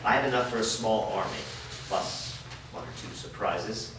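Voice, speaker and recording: Man acting a weary low-voiced father, echoey. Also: moderate pace, lightly acted. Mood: resolved. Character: weary, guilt-ridden blacksmith father in a fantasy world. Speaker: actor. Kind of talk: staged reading of a fantasy drama. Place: echoey room, recorded from a distance at a staged reading. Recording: echoey room, distant mic, clear background noise.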